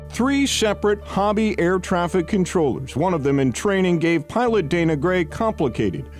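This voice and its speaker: A man's voice: high-pitched, sing-song, moderate pace, faint music behind. An American man narrating a scripted investigative TV news report as its reporter.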